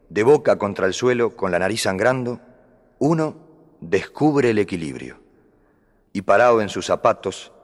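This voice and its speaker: A low male voice rings through long reverb. He recites whimsical prose poetry deliberately, placing dramatic emphasis on his words. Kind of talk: expressive recitation of humorous poetic prose. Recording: long reverberant hall sound.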